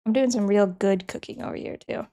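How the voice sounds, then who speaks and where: A woman's voice: mid-range voice, a little fast, warm tone. A young woman streamer who is sick and sniffling, chatting casually with her chat and thinking aloud as she works.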